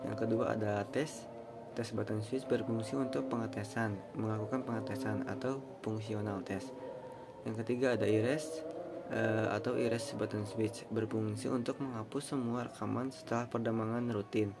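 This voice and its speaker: A young man giving an informal student presentation, explaining haltingly with casual asides. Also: lowish voice, flat delivery, loud music behind the voice. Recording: clearly noisy room recording.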